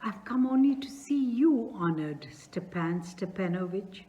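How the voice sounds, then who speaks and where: A woman's voice: medium pitch, expressive, a little slow, mellow, dull audio, lightly acted. A woman reading aloud as a nervous, flustered male landowner who stammers while asking for a daughter's hand.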